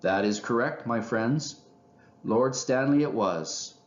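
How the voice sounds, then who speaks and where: A man's voice: mid-range voice, takes it slowly, roomy sound, highs cut off, lightly acted. A man voicing a pompous old male owl lecturer in a children's storybook, commanding and oratorical, with dramatic flair. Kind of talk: children's storybook character reading.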